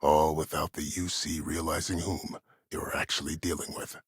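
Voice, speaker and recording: A very deep, husky, calculating schemer. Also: male voice, flat delivery, dry recording, studio quality, full character voice. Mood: measured, ominous. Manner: measured, formal, silkily polite. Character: calculating, courteous, menacing older male military schemer. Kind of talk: dramatic video game voice acting.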